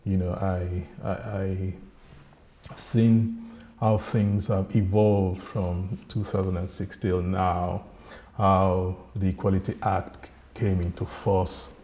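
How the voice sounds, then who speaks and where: Low, mellow man reflecting. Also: little pitch movement. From Nigeria. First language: Yoruba. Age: middle-aged. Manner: earnest, reflective, pauses and restarts. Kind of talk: personal talk.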